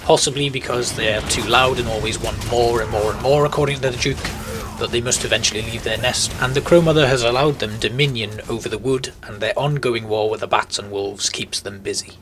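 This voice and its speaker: Male gaming guide: slightly low voice, conversational walkthrough commentary, clear game noise behind. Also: a little fast. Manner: conversational, explanatory. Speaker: gaming video host, guide. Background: game ambience.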